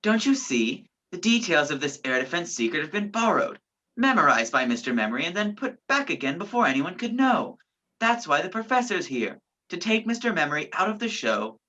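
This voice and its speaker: A woman's voice: lowish voice, very animated, brisk, pushed and bright, heavily acted. A woman playing a determined, commanding man on the run in a radio play. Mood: insistent, commanding. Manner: urgent, commanding. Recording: dull lo-fi virtual recording.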